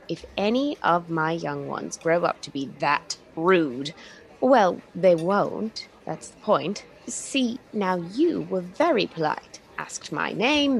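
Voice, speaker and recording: Chatty, weary cafe waitress, lowish voice. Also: female voice. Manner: chatty, polite, confiding. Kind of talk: old-fashioned radio play acting.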